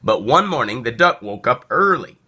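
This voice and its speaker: A man reading a children's story aloud in a theatrical, deliberate narrating style. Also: mid-range voice, sing-song, sharp tone, husky. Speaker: storybook reader.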